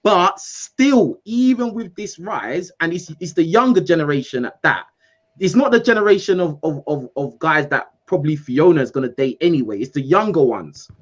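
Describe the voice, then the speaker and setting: A man from the UK, hosting a call-in show in a casual, animated debate, repeating himself for emphasis. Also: on the high side, normal speed, lo-fi sound. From United Kingdom. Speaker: host.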